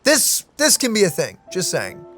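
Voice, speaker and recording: A woman's voice: medium pitch, huge pitch swings, moderate pace, fairly bright tone, dry recording, soft background music. A woman streaming a game with casual, playful, joking reaction commentary. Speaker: gaming streamer.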